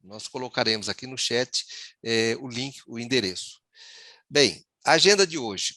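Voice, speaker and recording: A man, moderating a formal webinar over a video call in a measured way, often pausing on fillers. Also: medium pitch, creaky, bright, forceful tone, no room sound. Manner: measured, with frequent hesitation fillers.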